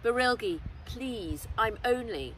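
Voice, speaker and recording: A woman's voice: a little high, lively intonation, takes it slowly, fairly bright tone, voice pushed up. A woman voicing a frightened young captive girl in a storybook, earnest and pleading. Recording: outdoors, distant mic, clear background noise.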